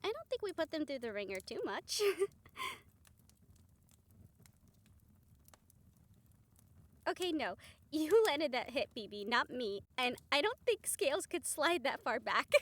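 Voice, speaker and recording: A woman's voice: high-pitched, big pitch swings, moderate pace, fairly bright tone. A woman playing a caring, teasing and driven strategist of a supernatural team in a conversational audio roleplay. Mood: playful. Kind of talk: scripted audio roleplay acting.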